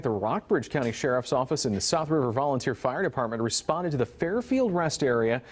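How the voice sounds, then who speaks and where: A man's voice: mid-range voice, a little mellow. An American man anchoring a newscast, reading stories and bantering casually with a co-anchor. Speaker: news anchor.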